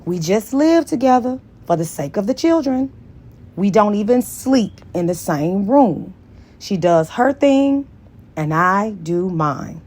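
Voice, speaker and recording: A woman's voice: mid-range voice, animated, slow pace, darkish tone. Woman putting on a pleading, smitten male lover. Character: pleading married man, smitten, persistent lover. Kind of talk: dramatized audiobook dialogue.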